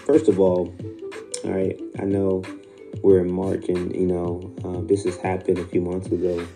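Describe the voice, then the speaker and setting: An American man rambling casually in a hesitant, low-key, sleepy way, like a first-time podcaster. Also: monotone, moderate pace. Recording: music beat under the voice. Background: looping music beat.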